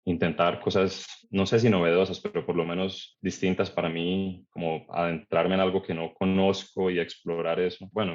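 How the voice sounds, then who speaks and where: Low-pitched Colombian man, slightly muffled recording. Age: 40s. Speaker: novelist, interview guest. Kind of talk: reflective conversational interview answers.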